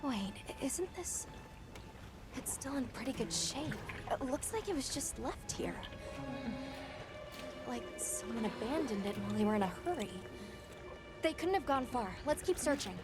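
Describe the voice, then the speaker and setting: An eager young traveler heroine. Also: female voice, high voice, expressive, unhurried, long pauses. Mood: searching. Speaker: game character. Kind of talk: voiced game cutscene. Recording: game audio with loud music.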